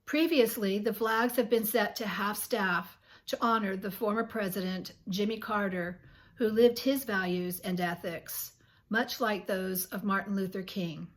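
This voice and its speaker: A woman in her sixties with a scratchy, under-the-weather voice, reading her thoughts aloud earnestly and softly to her channel's viewers, now and then clearing her throat. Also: mid-range voice, fairly even intonation, measured pace. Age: 60s. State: scratchy voice from illness. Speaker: channel host. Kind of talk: reflective reading to camera.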